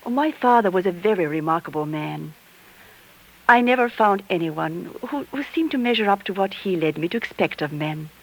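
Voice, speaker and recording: An actress playing a lonely, romantic young bookshop woman who flatters softly and coyly in a 1940s radio drama. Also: fairly low pitch, normal speed, rough recording. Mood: wistful, lonely. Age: young adult. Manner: gushing, coy, breaks off mid-phrase. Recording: vintage 1940s radio recording.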